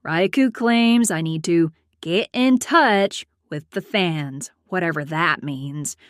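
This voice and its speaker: A woman playing a prim, aloof accountant in her late twenties who narrates her own story in a deadpan, formal way. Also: lowish voice, takes it slowly, dry recording, studio quality. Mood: reluctant, dry. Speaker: host and narrator of a character story series. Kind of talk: scripted first-person storytelling.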